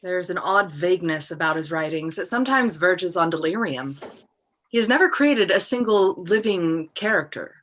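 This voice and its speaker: Woman reading jaded writer guy in an old play, musing, bright forward voice on the lower side, video call. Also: lively intonation, normal speed. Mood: reflective. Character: world-weary, self-absorbed male novelist fond of fishing. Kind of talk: cold read of a classic stage play.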